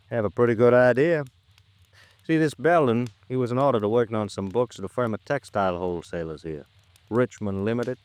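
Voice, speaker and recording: An actor playing an overworked, laconic American police lieutenant with colloquial, clipped talk, in 1950s radio drama style. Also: male voice, medium pitch, normal speed, long pauses, a little mellow, smooth, no echo, lightly acted. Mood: dry, matter-of-fact.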